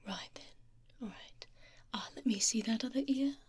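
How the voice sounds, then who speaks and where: A woman voicing a calm, courteous female doctor in an ASMR roleplay, soft and half-whispered, reassuring and unhurried, close to the microphone. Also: on the high side, slight background noise, natural voice. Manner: unhurried, reassuring, murmuring little acknowledgements. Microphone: close binaural ear microphone.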